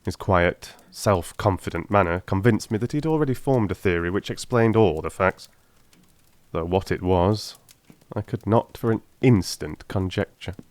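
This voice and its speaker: Man reading a classic audiobook, lowish voice, measured and dramatic, very dry sound. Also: a little mellow, no echo. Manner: measured, theatrical, grave. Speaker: audiobook narrator. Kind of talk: dramatic first-person scripted narration.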